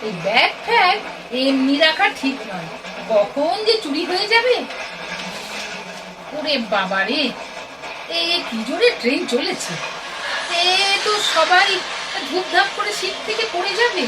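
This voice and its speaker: Woman acting fussy, high-voiced mother. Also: sing-song, measured pace, long pauses, heavily acted. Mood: flustered. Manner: fretful, exclaiming, repeats words in a fluster. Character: fussy, chatty middle-aged mother on a train journey. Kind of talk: radio play acting. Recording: distant mic, clearly noisy ambience.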